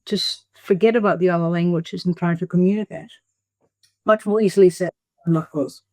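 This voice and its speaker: A woman's voice: lowish voice, steady tone, a little fast, mellow, dry recording. An older woman who speaks English as a second language, talking conversationally as an interview guest. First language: not English (English is second language). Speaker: interview guest, emerita biology professor.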